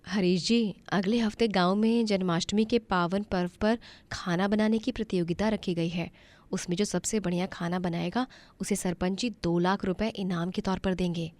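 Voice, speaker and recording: A woman voicing a gentle, devout wife in a moral story, soothing and encouraging. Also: mid-range voice, steady tone, moderate pace, dry recording, close to an everyday voice. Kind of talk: acted moral-story dialogue.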